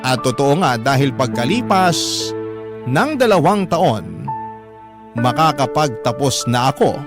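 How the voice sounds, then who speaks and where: Slow, hopeful young Filipino narrator. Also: male voice, mid-range voice, very animated, over music. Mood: hopeful, reflective. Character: hopeful young man telling his own life story. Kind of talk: reflective radio drama narration.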